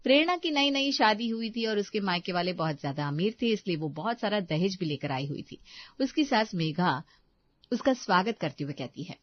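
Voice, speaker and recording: A woman's voice: mid-range voice, lively intonation, normal speed, no room sound, dull audio. A woman storyteller reading scripted narration of a moral story.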